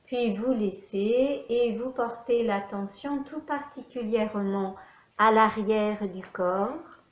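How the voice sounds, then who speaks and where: Very slow-speaking woman guiding meditation, echoey. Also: medium pitch. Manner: measured, instructional phrasing with pauses. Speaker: yoga nidra teacher. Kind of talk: guided meditation instruction. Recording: echoey room.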